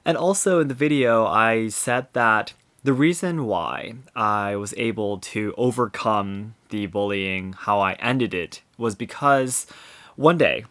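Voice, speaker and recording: A man's voice: lowish voice, takes it slowly, a bit bright. A man touched by English, hosting his own podcast and talking through his views in an earnest, thoughtful way, many examples and a frequent "you know". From Japan. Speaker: podcast host. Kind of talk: solo podcast reflection.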